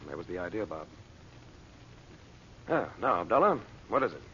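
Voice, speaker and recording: An actor playing a bold, confident magician uncle in an old-time radio adventure serial, commanding and reassuring. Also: male voice, low-pitched, big pitch swings, moderate pace, a bit bright. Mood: firm, calm. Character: bold, self-assured magician and uncle, planning a dangerous disguise. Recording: dull, noisy old radio recording.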